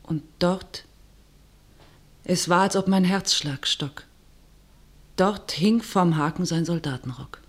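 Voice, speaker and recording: A woman playing a wary, fearful working-class sister, narrating and speaking in measured rhymed verse in a radio drama. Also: fairly low pitch. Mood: grave narrating. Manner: declamatory, measured verse delivery.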